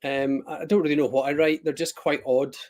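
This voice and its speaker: A Scottish man who sits a little high in his range for a man, chatting over a video call. He rambles, backtracks, fills gaps with ums and pokes fun at himself. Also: level tone, brisk, a little mellow. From Scotland. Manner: rambling, self-deprecating, many ums and restarts. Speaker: author guest. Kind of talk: casual live-stream chat.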